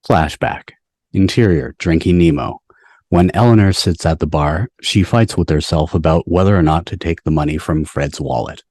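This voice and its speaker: A man's voice: deep voice, moderate pace, darkish tone, dry recording, studio quality. A man narrating scene headings and stage directions from a script at a table read. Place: home, on a video call.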